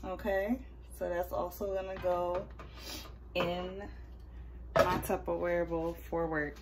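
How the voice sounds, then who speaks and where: Young American woman vlogger, slightly low voice, relaxed slow delivery, informal and slangy. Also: heavy background noise. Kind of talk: casual chat to camera.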